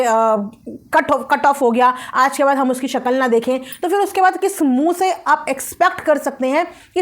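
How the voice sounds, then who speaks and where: A woman hosts a commentary video. She speaks emphatically and with firm opinions, often repeating a phrase for weight and dropping English words into her talk. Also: high-pitched. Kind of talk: opinionated commentary on social media family drama.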